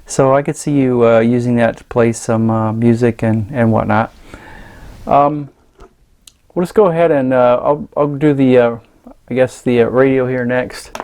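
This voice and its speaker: A man walks through a product in an off-the-cuff, casual review. His voice is mid-pitched and his delivery halting and meandering, feeling his way along. The sound is quite dry, with little room in it. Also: fairly even intonation, darkish tone, clear, resonant tone, dry recording. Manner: hesitant, halting, rambling. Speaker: gadget reviewer. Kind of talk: casual unscripted product demonstration.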